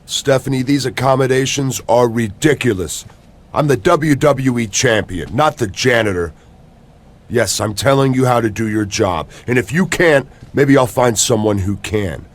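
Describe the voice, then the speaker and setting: A man's voice: medium pitch, normal speed, lightly acted. An actor playing an arrogant, menacing male champion wrestler in a video game cutscene, haughty and threatening. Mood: disdainful.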